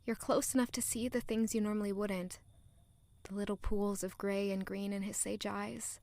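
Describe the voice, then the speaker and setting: An actress playing a playful, observant young noblewoman in an audio drama, with a soft, expressive delivery. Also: medium pitch, moderate pace, natural voice. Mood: hushed, intimate. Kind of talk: audio drama dialogue and first-person narration.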